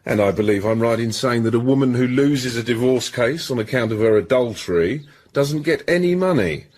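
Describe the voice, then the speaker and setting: An actor playing an English gentleman posing as a blackmailer in a radio drama, with a British accent and polite, insinuating speech. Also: medium pitch, animated, fairly quick, lightly acted. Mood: smug, menacing.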